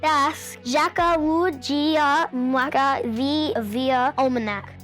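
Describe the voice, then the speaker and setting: Young female dragon wormling, performed by a man: high-pitched, eager, very slow chanting delivery, fantasy storytelling with faint music. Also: fairly bright tone, heavily acted. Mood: eager, menacing.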